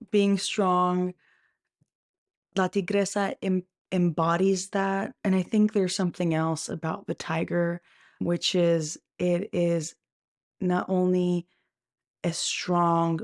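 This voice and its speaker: A woman with a lowish voice and plenty of vocal fry hosts a motivational podcast. She talks in an earnest, encouraging way and often drops in a "right?" as she goes. She is recorded dry and close on the mic. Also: a little mellow, dry recording. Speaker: podcast host.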